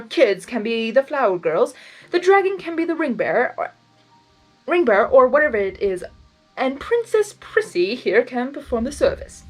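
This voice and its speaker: A woman's voice: high-pitched, voice pushed up, poor audio. A woman voicing a mischievous male chaos creature who talks in a mocking, theatrical way.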